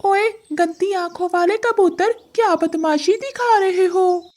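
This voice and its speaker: A woman voicing a proud, hot-tempered male parrot in an animated bird fable, indignant and confrontational. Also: extremely high pitch, takes it slowly. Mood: angry, threatening.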